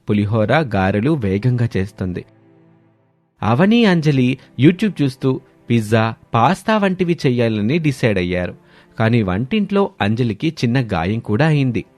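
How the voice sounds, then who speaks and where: A man's voice: medium pitch, very animated, moderate pace, dry recording. A man narrating a scripted dramatic family story. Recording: studio voice over background music.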